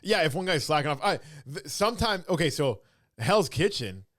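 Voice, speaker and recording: A man from Canada hosting a casual podcast chat, quick and joking, often dropping into impressions. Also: a little high, very animated, a bit bright, dry recording, clean studio sound. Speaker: podcast host.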